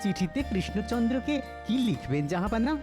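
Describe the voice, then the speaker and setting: A woman's voice: medium pitch, animated, fairly quick, natural voice. A woman voicing a deferential, inquisitive male vizier in a comedy cartoon. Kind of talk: Bengali comedy cartoon dubbing. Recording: music behind the voice. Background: background music.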